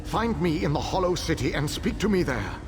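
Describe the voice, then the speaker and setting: A man's voice: a little high, very animated, over loud music. A formal, calculating elven king. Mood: measured. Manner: formal, deliberate, strategic. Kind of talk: video game dialogue.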